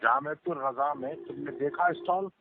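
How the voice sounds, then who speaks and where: A man's voice: a little high, lively intonation, moderate pace, vocal fry. A man answering a phone call in an argument, curt, exasperated and repeating himself. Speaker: religious leader answering a call. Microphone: telephone. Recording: tinny phone line, crowd noise behind.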